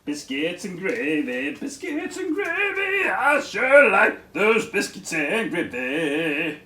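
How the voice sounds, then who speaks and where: Casting: an excitable, evasive puppet dragon for kids' storytime; high, wildly swooping delivery, theatrical exclamations. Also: male voice, slow, distant mic, heavily acted. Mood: gleeful. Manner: theatrical, exclaiming, feigned innocence. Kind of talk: children's puppet storytime skit. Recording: echoey room, off the mic.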